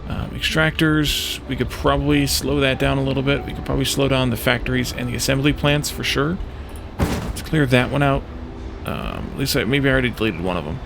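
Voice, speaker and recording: Gravelly-voiced man thinking aloud over a game. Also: medium pitch, background noise. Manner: thinking aloud, hesitant, halting. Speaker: let's play host. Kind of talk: casual gameplay commentary. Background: music in the background.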